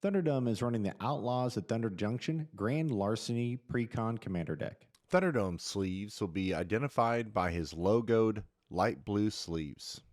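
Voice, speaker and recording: Low-voiced guy doing scripted voiceover, reads card text flat and even, no rush. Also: normal speed. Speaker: voice-over narrator of deck introductions. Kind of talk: scripted narration.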